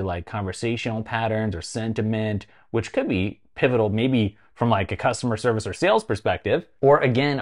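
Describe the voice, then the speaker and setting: A man's voice: fairly low pitch, darkish tone. A man in his forties with a North American accent, hosting a conversational tech explainer and casually stressing key phrases. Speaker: tech video host. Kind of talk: explainer vlog to camera, conversational. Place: small home studio room, indoors.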